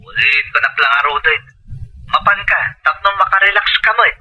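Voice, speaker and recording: A man's voice: fairly high pitch, a little fast, pushed and bright, muffled recording. A man playing a patient, hardworking husband calling home from abroad in an Ilocano audio drama, through a telephone effect. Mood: indulgent.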